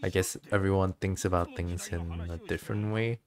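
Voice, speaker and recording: Low-voiced man, musing game streamer. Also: fairly even intonation, normal speed. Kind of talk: casual game commentary with dialogue read aloud.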